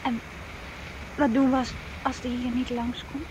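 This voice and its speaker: A woman playing a nervous, excited accomplice in a naturalistic radio drama, hesitant and questioning. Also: high voice, a little slow. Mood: uneasy, intimate. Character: nervous, excited female accomplice on a night job. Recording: noisy radio drama recording.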